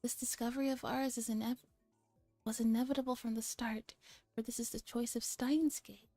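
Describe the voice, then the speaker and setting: A woman's voice: on the high side, steady tone, moderate pace, dark tone, no room sound, soft background music. A woman voicing a grandiose, paranoid young man who calls himself a mad scientist, with pompous theatrical delivery, for a visual novel. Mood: awed, grandiose.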